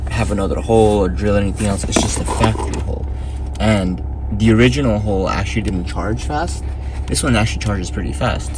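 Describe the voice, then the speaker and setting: Low-voiced man, casual demo, noisy car. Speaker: product reviewer demonstrating a car head unit. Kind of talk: casual hands-on demo chat. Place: inside a car. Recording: noisy car interior, vehicle ambience.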